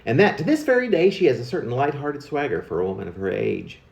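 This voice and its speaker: A man recites a humorous narrative poem with a lively, widely moving pitch. His delivery is wry and confiding, like a storyteller sharing gossip. The voice sits at a middle pitch in a noticeably echoey room. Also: fast talker, gravelly. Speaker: poet reading own work.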